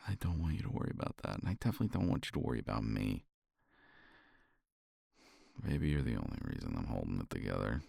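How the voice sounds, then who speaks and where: A man speaking softly and reflectively in a romantic ASMR roleplay, sighing often and sometimes dropping to a whisper, close to the mic. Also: extremely low pitch, big pitch swings, fast, vocal fry, long pauses, breathy edge, dry recording. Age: older. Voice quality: soft, intimate, drifts into whispers.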